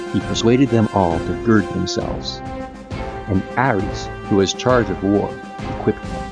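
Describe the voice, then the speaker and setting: A man narrating an epic tale as a scripted, dramatized audiobook. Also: low-pitched. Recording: music and effects under the voice.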